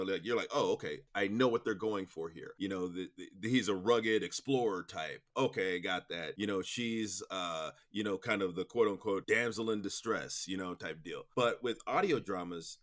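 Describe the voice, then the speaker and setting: A man answering interview questions as a guest producer, in a rambling, explanatory, conversational way peppered with "you know". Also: sits low. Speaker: interview guest, audio drama producer and director.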